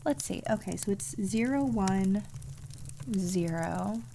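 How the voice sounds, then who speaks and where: A woman's voice: mid-range voice, animated, takes it slowly, vocal fry, mellow, a little hiss or hum. A woman giving casual gameplay commentary, muttering and puzzling things out to herself. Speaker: game streamer.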